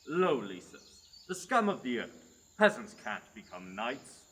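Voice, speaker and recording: A man's voice: medium pitch, sing-song, measured pace, a bit bright, slight background noise. A young man playing a blunt, mocking peasant son in a student radio play. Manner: blunt, dismissive.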